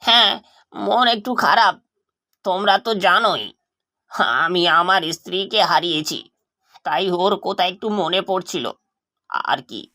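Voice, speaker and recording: High-voiced, fretful village father played by a man. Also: unhurried, long pauses, sharp tone, heavily acted. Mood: distraught, sad. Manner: fretful, lamenting. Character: poor, troubled village husband and father.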